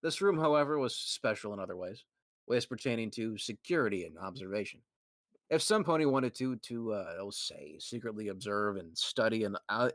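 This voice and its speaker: A man's voice: lowish voice, fairly bright tone, no room sound. A young man casually reading a fan fiction aloud, often breaking into laughter and restarting lines.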